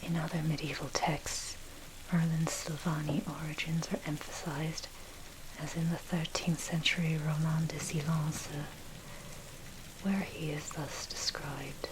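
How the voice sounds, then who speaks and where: A woman narrates in a breathy ASMR whisper, low and very even in tone. Her reading is measured and scholarly, like someone presenting a history text. Steady, clearly audible rain runs behind her voice. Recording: steady loud rain noise over voice. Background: rain and thunder.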